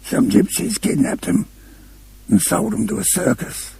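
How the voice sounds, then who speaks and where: An actor playing a lonely, gentle daddy bear in a comic radio play, husky and hesitant, with a plaintive slowness. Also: male voice, high voice, animated, dry recording, exaggerated voice. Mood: forlorn.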